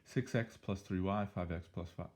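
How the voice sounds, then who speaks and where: A male math teacher, slightly hoarse, talking through an algebra problem in an upbeat, playful way with jokey exclamations. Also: low-pitched, normal speed, a little mellow. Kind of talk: recorded algebra lesson walkthrough.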